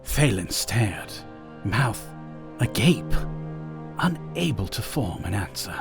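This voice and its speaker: A man's voice: fairly low pitch, very animated, slow, gravelly, music in the background. A man narrating an audio drama in a scripted, dramatic storytelling style.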